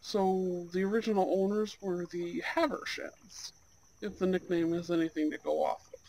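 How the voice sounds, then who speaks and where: A performer voicing a charming, soft-spoken young Goth gentleman on a blind date, in an ASMR roleplay with gentle, courteous, slightly old-fashioned phrasing. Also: medium pitch, steady tone, moderate pace, creaky, natural voice, rough recording. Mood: curious, uneasy. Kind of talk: ASMR roleplay, speaking to an unheard listener.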